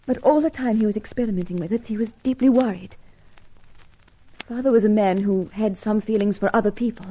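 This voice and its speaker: An actress playing a gentle, helpless young woman in an old-time radio adventure serial, earnest, tremulous and polite. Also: medium pitch, brisk, close to an everyday voice. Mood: earnest, wistful. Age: young adult. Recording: dull, lo-fi radio serial recording.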